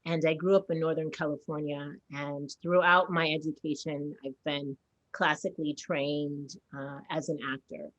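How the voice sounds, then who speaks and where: Low-voiced Haitian-born woman, earnest. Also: steady tone, normal speed, rough recording. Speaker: theater director and actor. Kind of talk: panel conversation.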